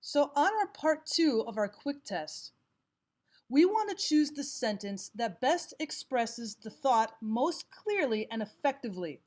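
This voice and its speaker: A woman with a somewhat high-pitched voice narrates a scripted lesson. She speaks as a teacher reading exercises aloud, in a measured and instructional manner. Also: sing-song, a little mellow. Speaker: English grammar teacher.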